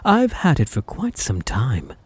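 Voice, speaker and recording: A man performs an obsessive male kidnapper in a close, dry ASMR roleplay. His soft, dark voice is husky and slides from syrupy endearments into giddy menace. He is possessive and taunting, relishing his control. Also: mid-range voice, wildly swooping pitch, a little slow, no echo, heavily acted. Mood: giddy teasing. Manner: cloying endearments, mock-sweet taunting, swinging into giddy mania. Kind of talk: ASMR roleplay, intimate dramatic monologue.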